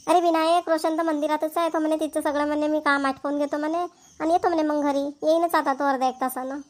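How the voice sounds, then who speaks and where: Lady doing a talkative village housewife for a cartoon, really high voice, varhadi accent, homely and emphatic. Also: fairly even intonation, fast talker, close to an everyday voice. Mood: chatty, explaining. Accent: rural Maharashtrian, Varhadi. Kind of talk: Marathi village family cartoon dialogue.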